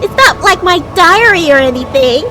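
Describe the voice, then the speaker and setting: A woman's voice: extremely high pitch, expressive, normal speed, over loud music, voice pushed up. A woman voicing a bossy, nosy young girl in a video game, with a pert, teasing, demanding manner. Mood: pushy, flustered.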